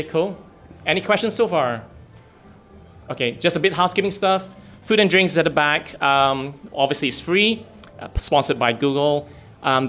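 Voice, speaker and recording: Man hosting a meetup, deep-voiced today. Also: a bit bright, a little hiss or hum. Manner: chatty, laughs easily, runs the agenda. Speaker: event host. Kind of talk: casual event hosting. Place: hotel meeting room.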